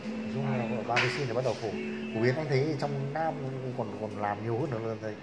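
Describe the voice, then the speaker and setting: A man's voice: mid-range voice, fast talker. A man chatting casually with his hair washer while lying back at a salon wash basin, filmed on a phone. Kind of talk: casual chat. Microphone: phone camera held on his chest. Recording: roomy salon with loud music. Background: loud music.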